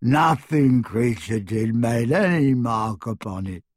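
A man voicing a frightened old male elf servant in an audiobook, abject and devoted, speaking haltingly through sobs. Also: medium pitch, expressive, dry recording, studio quality. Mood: moaning, despairing. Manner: sobbing, halting, stammering, speaks of himself in third person. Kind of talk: audiobook character acting.

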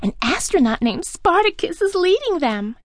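A man voicing an anxious talking bunny with an urgent, squeaky delivery in an audiobook. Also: very animated, moderate pace, no echo, clean studio sound. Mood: urgent alarm. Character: anxious high-voiced talking bunny warning of danger. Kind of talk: audiobook character acting.

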